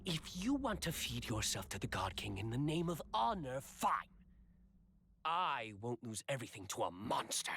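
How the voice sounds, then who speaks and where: An actor voicing a cynical, self-serving royal knight in a dramatic video game, with a smooth-talking, sardonic and insinuating manner. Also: male voice, mid-range voice, very animated, normal speed, slight background noise. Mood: scheming, coaxing. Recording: loud dramatic music behind voice.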